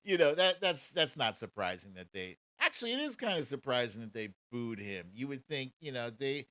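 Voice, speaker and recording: A man's voice: medium pitch, sing-song, fairly quick, bright, forceful tone, no echo. A man hosting a casual political commentary livestream in a sarcastic, mocking and profane manner. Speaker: show host.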